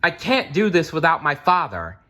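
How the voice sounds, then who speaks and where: Man acting an earnest young fisherman, raised pitch. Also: big pitch swings, normal speed, bright, forceful tone. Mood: distressed. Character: earnest young fisherman, anxious and eager storybook hero.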